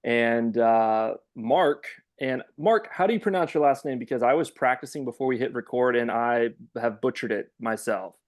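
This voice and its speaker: A man's voice: medium pitch, moderate pace, few pauses. A man co-hosting a faith-based podcast, introducing a guest in a chatty way with lots of fillers. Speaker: podcast co-host.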